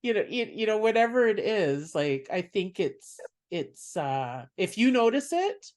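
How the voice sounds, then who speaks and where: A man from Canada with a soft voice, a stand-up comedian speaking as a podcast guest in reflective, unhurried talk. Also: high-pitched, big pitch swings. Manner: reflective, explains at length. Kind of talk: casual interview chat.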